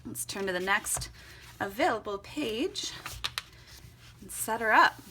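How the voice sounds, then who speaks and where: A woman's voice: medium pitch, expressive, slow, background noise. A young woman chatting casually and upbeat as she walks through her journal setup at home, laughing often. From Florida and Costa Rica. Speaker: vlogger. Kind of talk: casual vlog narration while journaling.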